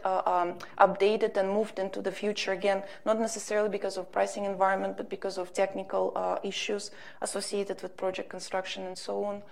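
A woman's voice: medium pitch, creaky, a little mellow. A woman speaking on a conference panel, answering questions carefully with many fillers and restarts. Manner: hesitant, careful, many ums and self-corrections. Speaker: panel speaker on energy markets.

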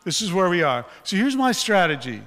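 A man's voice: high-pitched, sing-song, fairly quick, a bit bright. A male pastor preaching, his voice weakened after a stroke, candid and joking with the congregation. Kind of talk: sermon. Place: church sanctuary. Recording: long hall reverb.

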